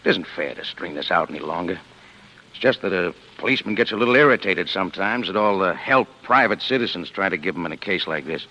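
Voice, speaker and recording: An actor playing a wry, skeptical homicide lieutenant in a 1940s radio drama, with a sardonic, needling, colloquial delivery. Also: male voice, low voice, animated, quick pace, sharp tone, full character voice. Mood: apologetic. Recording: dull, lo-fi vintage radio recording.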